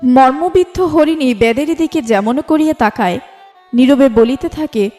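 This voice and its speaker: A woman's voice: high-pitched, unhurried, dark tone. A woman reading a scripted literary story as narrator, in a formal storytelling delivery. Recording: music bed under the voice. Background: instrumental music.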